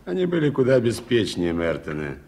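An actor playing a polite, well-informed gentleman in a Soviet radio play, speaking in a measured, explanatory way. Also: fairly low pitch, huge pitch swings, creaky, heavily acted. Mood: earnest, explanatory. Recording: noisy 1980s radio play recording.

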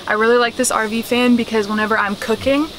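A woman's voice: fairly high pitch, steady tone, quick pace, slight background noise, low-quality recording. A young woman in her twenties giving a casual tour of her small converted van, chatty and full of "like" and "um", filmed on a handheld camera. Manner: chatty, lots of "like" and "um". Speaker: vlogger. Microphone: handheld wide-angle camera.

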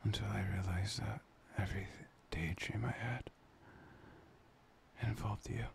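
A man playing a soft, loving boyfriend in an intimate ASMR roleplay, half-whispering breathily and soothingly up close to the mic. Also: on the low side, steady tone, creaky, slight background noise. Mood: tender, confessional. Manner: slow, pausing, soothing. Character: soft, playful, loving boyfriend, tender and reassuring. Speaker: audio roleplay performer. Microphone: close microphone.

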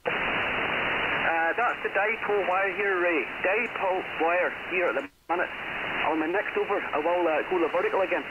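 A man's voice: sits high, moderate pace. A man with a British accent chatting casually as a ham radio caller, heard through a shortwave radio transmission. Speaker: amateur radio operator, caller. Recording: noisy, muffled shortwave radio reception.

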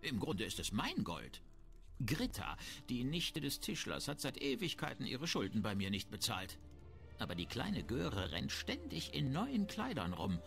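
A man's voice: medium pitch, huge pitch swings, unhurried, gravelly, a bit bright, heavily acted. A man playing a shrewd, grumbling, self-important town merchant in a fantasy video game. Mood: sly, bargaining. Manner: haggling, disdainful, self-important. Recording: music behind the voice.

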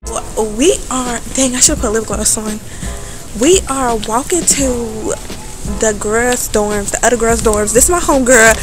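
Chatty high-voiced young woman vlogging. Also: unhurried, music in the background. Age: young adult. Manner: chatty, slangy, playful. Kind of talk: casual vlog chat. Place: car, dorm and busy bowling alley. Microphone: phone held at arm's length.

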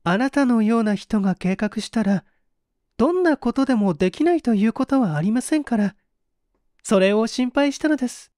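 A man's voice: very high-pitched, animated, normal speed, a little mellow, no room sound, studio quality, voice pushed up. A man voicing a polite, anxious young woman with feminine phrasing, in an audiobook reading. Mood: anxious, startled. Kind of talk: audiobook character voice.

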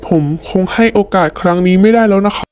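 A woman voicing a stern, reluctant male deputy school director in a cartoon story, speaking in a measured, formal way. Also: mid-range voice, fairly even intonation, darkish tone, a little hiss or hum, lightly acted. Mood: stern, doubtful. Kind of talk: hobby cartoon story dubbing.

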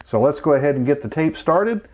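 A man's voice: fairly high pitch, animated, fairly quick, darkish tone. A middle-aged man, narrating a casual hands-on tutorial in a plain-spoken, step-by-step way. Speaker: host of a vintage electronics channel, amateur radio hobbyist.

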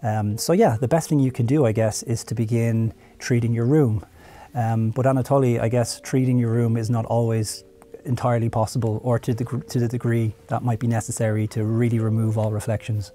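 Guy in his 30s hosting a studio interview, soft mellow voice, explains stuff conversationally. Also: medium pitch, little pitch movement, a little fast. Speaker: host, product marketing head. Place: studio room with curtains and audio gear.

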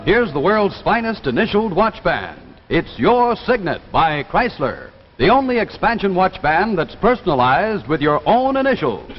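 A man's voice: sits high, sing-song, normal speed, faint music behind. A man reading scripted announcements as a 1950s television announcer. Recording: muffled, echoing, noisy 1950s TV soundtrack.

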